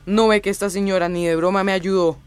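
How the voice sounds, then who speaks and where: An actress as a weary young nephew in a radio serial. The voice is medium-pitched and grumbles like a put-upon youngster. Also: female voice, steady tone, brisk, darkish tone, clear, resonant tone, no echo, natural voice. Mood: grumbling, weary. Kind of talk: radio drama acting.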